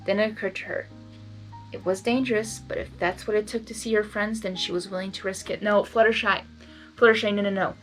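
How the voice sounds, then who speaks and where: A woman's voice: mid-range voice, fairly even intonation, a little fast, faint music behind. A woman narrating fan fiction expressively as an amateur reader, breaking into informal, emotionally involved reactions and stumbling over words now and then.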